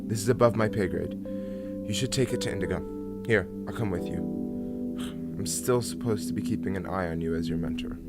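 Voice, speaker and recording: A man's voice: low-pitched, a little fast, pauses often, over loud music. A man playing a weary, reproving mentor in an audio drama, stern and easily exasperated. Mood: skeptical.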